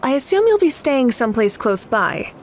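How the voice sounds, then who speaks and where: An actress playing a calm, obliging local hostess in a game, speaking politely. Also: female voice, sits high, a little hiss or hum. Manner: polite, unhurried. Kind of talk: game voice acting.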